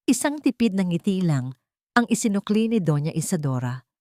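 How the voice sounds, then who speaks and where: A woman's voice: lowish voice, sing-song, normal speed, warm tone, no room sound, clean studio sound. A woman narrator reading a scripted dramatic story.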